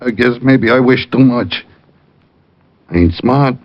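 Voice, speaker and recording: Actor as slow rough young hoodlum, dry close voice, old muffled radio recording. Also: male voice, medium pitch, no echo, natural voice. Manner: rough ungrammatical street talk. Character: slow-witted tough young hoodlum, grieving. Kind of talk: 1950s radio drama acting.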